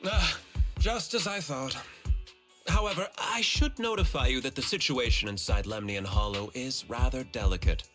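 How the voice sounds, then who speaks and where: An actor playing a formal, smug company executive in a video game, pompous and courteous with veiled menace. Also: male voice, mid-range voice, moderate pace, fairly bright tone, faint music behind, lightly acted. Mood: smoothly warning.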